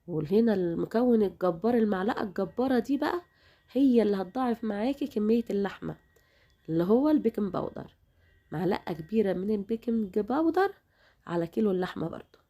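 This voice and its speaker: A woman's voice: medium pitch, animated, fast talker, warm tone. A woman with an Egyptian Arabic accent, hosting a home cooking demonstration in a chatty way, speaking straight to her viewers, her voice a little worn from a recent illness. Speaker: cooking channel host.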